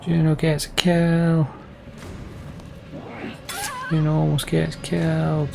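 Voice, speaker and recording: A man's voice: fairly high pitch, completely flat delivery, slow pace, gravelly. A man giving casual let's play commentary on a video game in a dry, offhand, muttering way. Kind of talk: casual gameplay commentary with some read-aloud narration. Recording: game music behind the voice. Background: video game music.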